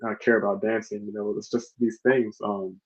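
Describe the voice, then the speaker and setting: Low-voiced man on a muffled call. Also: level tone, moderate pace. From Congo. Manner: earnest, reflective, many fillers and restarts. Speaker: organization founder, guest. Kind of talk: live online conversation. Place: home room, map on wall behind. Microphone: video call. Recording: muffled lo-fi audio.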